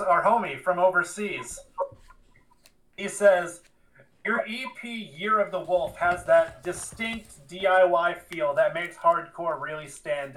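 Bright, higher-pitched man, slightly distant. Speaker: podcast host, rapper. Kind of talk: casual podcast chat.

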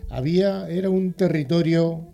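Male radio host, upbeat and jokey, mellow warm tone, faint music bed. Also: fairly high pitch, normal speed, creaky. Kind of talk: casual radio chat.

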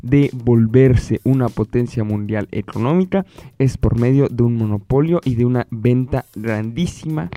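A man's voice: mid-range voice, normal speed, warm tone, no echo. A man hosting a conversational news commentary podcast, addressing listeners directly and asking them rhetorical questions. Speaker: podcast host. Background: background music.

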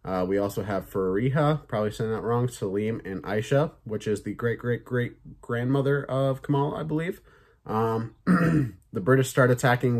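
A man hosting a podcast, reviewing films and shows in a loose, chatty way with many fillers and some swearing. His voice sounds a little raspy and sore. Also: lowish voice, a little mellow. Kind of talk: casual review chat.

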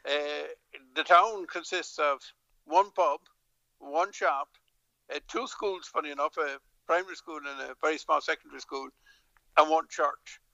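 Irish man, gravelly bright voice, lots of hesitations. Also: a little high, unhurried, no room sound, lo-fi sound. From Dublin, Ireland. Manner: warm rambling storyteller, frequent hesitations and restarts. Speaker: politician. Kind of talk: remote interview.

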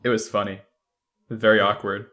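A man's voice: on the low side, level tone, moderate pace, pushed and bright. A man co-hosting a casual panel chat about films, talking in a jokey way. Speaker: podcast co-host.

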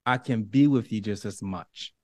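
A man's voice: on the low side, normal speed, dry recording, studio quality. A man from Liberia speaking as an interview guest in an earnest, self-assured, emphatic motivational way. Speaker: interview guest, professional dancer and author.

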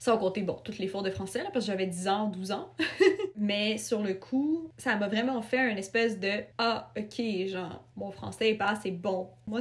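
Chatty young Québécoise woman, laughing easily. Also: medium pitch. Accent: Québécois French. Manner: chatty, quick laughs, frequent fillers and hedges. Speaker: guest, writer. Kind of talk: casual podcast conversation.

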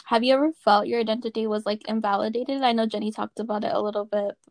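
A woman's voice: fairly high pitch, fairly even intonation, fast, a little mellow, no room sound, clean studio sound. A young woman moderating a student panel, asking a long rambling question full of fillers. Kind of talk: casual panel discussion.

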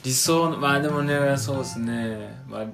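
A man's voice: medium pitch, moderate pace, gravelly, over music. A man with a Kansai accent co-hosting a casual podcast, speaking politely and a bit hesitantly. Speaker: podcast co-host. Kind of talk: casual chat.

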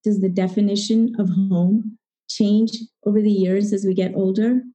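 A woman's voice: medium pitch, fairly even intonation, normal speed, dark tone, rough recording. A middle-aged woman from Zambia telling a personal story at a live event, reflective and posing rhetorical questions, acting out bits of dialogue.

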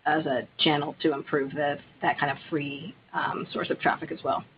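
A man speaking as a company executive on an earnings call, answering in an informal, halting way. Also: on the high side, level tone. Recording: noisy, tinny phone line.

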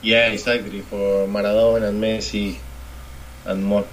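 A man in his twenties from Argentina with an Argentine Spanish accent, chatting casually and haltingly in English as a second language, often repeating words. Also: on the low side, little pitch movement. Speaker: English learner in conversation practice. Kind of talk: casual chat. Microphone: online voice call. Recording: noisy online call.

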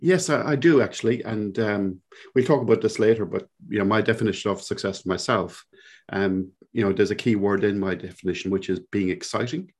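A man around sixty speaks with a Cork Irish accent. His voice sits low, and he muses at length as a podcast guest, with plenty of ums and restarts. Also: fairly quick, darkish tone, husky. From Ireland. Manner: reflective, rambling with fillers. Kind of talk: conversational interview.

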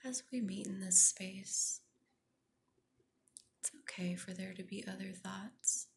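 Slow, whispery woman guiding meditation. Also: medium pitch, fairly even intonation, slight background noise. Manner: soft, breathy near-whisper, soothing and gentle. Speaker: meditation guide, podcast host. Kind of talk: guided meditation.

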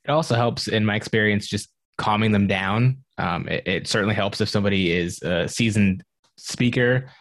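A man on a podcast panel, explaining in a relaxed, practical way how he prepares guests. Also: lowish voice, moderate pace, dry recording. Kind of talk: casual panel chat.

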